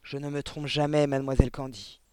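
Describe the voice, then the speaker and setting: A man's voice: medium pitch, level tone, a little fast, warm tone, clear, resonant tone, no room sound, studio quality, close to an everyday voice. A man voicing a huge, bullying, spiteful middle-aged headmistress in a storybook, barking scornfully and emphatically. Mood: snappish, accusing.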